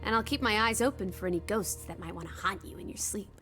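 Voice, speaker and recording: An actress voices a sociable young female companion in a narrative video game. She is teasing and a little enigmatic, with a medium-pitched voice. Her delivery is breezy and coaxing, with faint music underneath. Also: fast, close to an everyday voice. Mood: playful, teasing. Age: young adult. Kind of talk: naturalistic video game voice acting.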